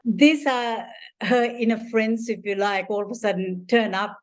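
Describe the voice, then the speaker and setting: An older woman from Sri Lanka, an author and family therapist, answers interview questions. She talks at length in a rambling, warm way, full of "you know" fillers and restarts. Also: on the high side, steady tone, moderate pace.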